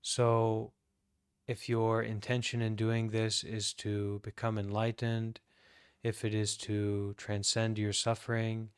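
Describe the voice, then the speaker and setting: Slow-paced, smooth-voiced American man guiding meditation. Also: fairly low pitch, no echo, clean studio sound. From United States. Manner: calm, deliberate, many pauses. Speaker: Buddhist monk leading guided meditation. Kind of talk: guided meditation talk.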